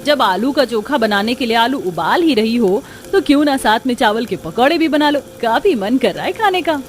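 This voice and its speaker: A woman's voice: on the high side, animated, moderate pace, slight background noise, lightly acted. A woman voicing a playful, chirpy young sister-in-law who loves food, in a cartoon story. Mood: coaxing. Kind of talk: Hindi cartoon story dialogue.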